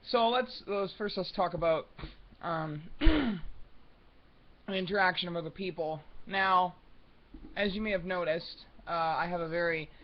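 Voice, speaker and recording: A young man with a soft voice that sits higher than most men's talks off the cuff in a room at home. He rambles, restarts and fills gaps with ums. The recording is dull and lo-fi. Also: measured pace. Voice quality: high, soft voice. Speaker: vlogger. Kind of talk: unscripted casual monologue.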